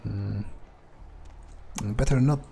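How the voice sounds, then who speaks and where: A man's voice: lowish voice, drawn-out words, vocal fry, background noise. A man improvising in-character video game commentary, thinking aloud in a musing, hesitant way and swearing freely. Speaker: let's player role-playing in character.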